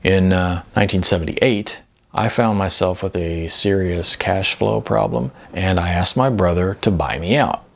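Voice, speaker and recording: A man's voice: deep voice, normal speed, creaky. A man voicing a laid-back, world-weary older man in an audiobook, laconic and easygoing with some hesitation. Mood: resigned. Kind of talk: audiobook character dialogue.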